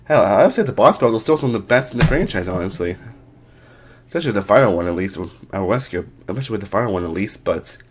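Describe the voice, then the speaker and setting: A man's voice: lowish voice, lively intonation, fast, a little hiss or hum. A young man hosting a casual game ranking video, rambling with many restarts, his voice a little off from allergies. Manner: rambling, with frequent restarts and fillers. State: voice a bit off from allergies. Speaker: video game reviewer, channel host.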